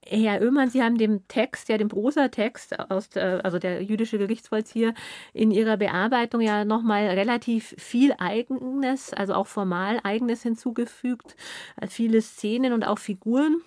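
A woman's voice: on the high side, moderate pace, a little mellow, no echo. Female radio interviewer, dry close sound.

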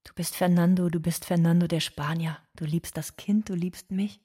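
Guarded hurt immigrant mom, radio play monologue, low husky voice, plain repetitive lines. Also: female voice, fairly even intonation, moderate pace, warm tone. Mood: plain, insistent. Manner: short, plain, repetitive sentences. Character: undocumented immigrant mother Anna, quietly guarded, hurt.

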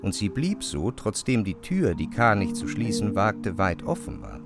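A man with speech narrating a literary classic in a measured, expressive way. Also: on the low side, loud music behind the voice. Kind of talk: literary audiobook narration, dramatized radio reading.

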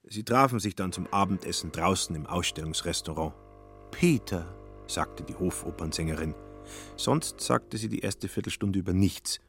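A man's voice: deep voice, normal speed, creaky, fairly bright tone, soft background music. A man narrating a literary radio play with dry, ironic storytelling.

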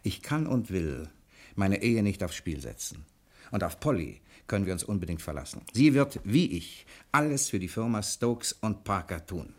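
A man acting a confident, adventurous bookkeeper in a 1960 German radio drama, speaking briskly and persuasively with a commanding manner. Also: on the low side, sing-song, a little mellow. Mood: determined.

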